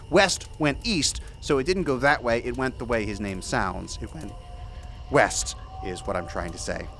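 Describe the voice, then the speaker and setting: A man's voice: mid-range voice, a bit bright, a little hiss or hum. An actor playing a digressive storyteller, narrating a tall tale conversationally in an audio drama and flustering over his own words. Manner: halting, digressive, flustered self-corrections. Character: rambling, self-correcting male storyteller of a tall tale.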